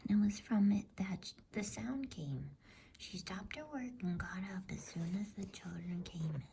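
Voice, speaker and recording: A woman storyteller reading a bedtime story in ASMR style with a soft, hushed whisper. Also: mid-range voice, animated, normal speed, creaky, slight background noise. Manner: soft whispering, soothing hush.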